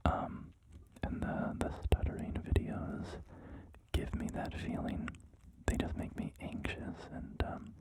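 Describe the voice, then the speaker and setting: Slow, soft whisper over gameplay. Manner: soft, apologetic, rambling with many fillers. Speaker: gaming ASMR creator. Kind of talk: whispered ASMR gameplay commentary.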